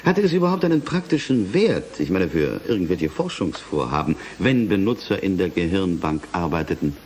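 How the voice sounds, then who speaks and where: A probing, formal colleague in a radio play. The voice is deep yet animated in pitch, articulate and inquisitive, with slight noise behind it. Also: male voice, moderate pace. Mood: inquiring. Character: probing, formal colleague questioning a university president. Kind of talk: German radio play acting.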